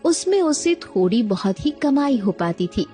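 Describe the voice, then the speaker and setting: A woman's voice: on the high side, big pitch swings, normal speed, mellow, faint music behind. A woman narrating a scripted children's bedtime story.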